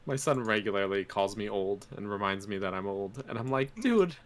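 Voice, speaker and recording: A man's voice: fairly low pitch, lively intonation, normal speed. A man in his twenties, a podcast guest and streamer, chatting casually over an online call and laughing often. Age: 20s. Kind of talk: casual chat.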